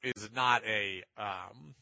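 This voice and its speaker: A man's voice: fairly low pitch, very slow, creaky, sharp tone, dry recording, muffled recording. A man hosting sports talk radio, giving opinionated commentary in an emphatic way and repeating points for effect.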